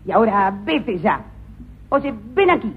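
A cruel, sadistic prison director, barking scorn and contradicting everything. The pitch swings widely and the sound is thin and phone-like. A faint hiss sits underneath. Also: female voice, sing-song, unhurried. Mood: domineering. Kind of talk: comic radio play acting. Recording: tinny lo-fi radio recording.

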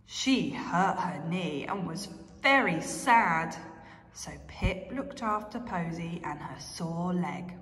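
A young woman who reads and talks to small children as an early years educator, with expressive, drawn-out emphasis. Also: lowish voice, faint music behind. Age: young adult. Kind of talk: children's story reading and quiz. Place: carpeted nursery classroom, indoors. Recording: echoey room with long reverb.

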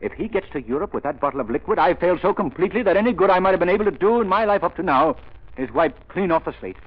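An actor playing a determined gentleman crime-fighter in 1930s radio style, with a clipped, commanding manner. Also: high-pitched, expressive, quick pace, slightly rough. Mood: urgent, determined. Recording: muffled, noisy 1930s radio recording.

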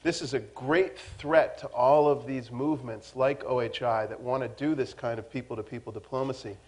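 Middle-aged man, mid pitch, assured, conversational, lapel mic. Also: steady tone, moderate pace. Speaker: panelist. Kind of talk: panel discussion.

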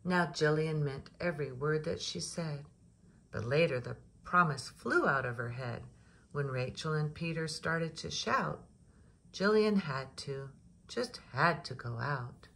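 Low-pitched, gravelly woman reading aloud. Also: measured pace, fairly bright tone. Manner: rhythmic rhyming delivery, engaging listeners with questions. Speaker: storyteller reading to young children. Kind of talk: picture book read-aloud with chatty asides.

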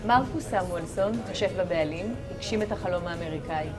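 A woman interviewer narrating a restaurant feature in a brisk, lively voice-over. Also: on the low side, over music. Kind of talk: documentary voice-over.

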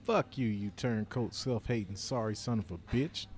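A man's voice: on the low side, moderate pace, a little mellow, natural voice. A man playing a cornered fugitive informant in an audio drama, speaking in a bitter, curt, streetwise and profane way. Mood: bitter defiance. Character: defiant, cornered male fugitive turned reluctant informant. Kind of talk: scripted audio drama dialogue.

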